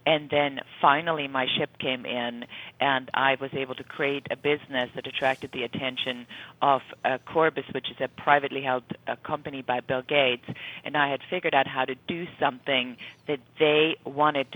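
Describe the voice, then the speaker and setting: A woman's voice: rumbling low, steady tone, moderate pace, fairly bright tone. A middle-aged woman from Germany with a German accent, talking emphatically and conversationally as an interview guest over a remote connection. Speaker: guest author and entrepreneur. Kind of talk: interview storytelling. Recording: dull band-limited radio line.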